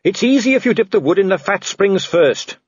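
A man's voice: high-pitched, animated, a little fast, breathy edge, no room sound, muffled recording, voice pushed up. A man voicing a cheerful, earnest young watch captain who is polite and deferential, in an audiobook. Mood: cheerful, helpful. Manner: chipper, deferential, matter-of-fact. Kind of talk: audiobook character acting.

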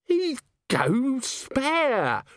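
A man's voice: on the high side, sing-song, a little slow, fairly bright tone, no echo, clean studio sound, pitched above the performer's own voice. A man voicing a scruffy, dim, nervous corporal who talks in crude colloquial blurts, for a comic fantasy audiobook. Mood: alarmed. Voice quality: wheezy. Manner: colloquial, dropped standard grammar, blurting.

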